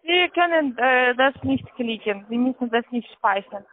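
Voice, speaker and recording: A woman works as a call-centre agent. She gives insistent instructions in halting, phrasing. The sound is a muffled, lo-fi telephone line. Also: on the high side, expressive, no echo. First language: not German. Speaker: call-centre phone scammer. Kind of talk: pushy scripted phone instructions. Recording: dull lo-fi phone line.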